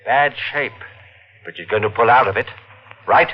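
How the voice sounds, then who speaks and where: A man playing a desperate, greedy schemer who pleads frantically and rises to shouts, in a 1950s radio drama. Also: mid-range voice, normal speed, lightly acted. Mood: frantic. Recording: dull old 1950s radio broadcast.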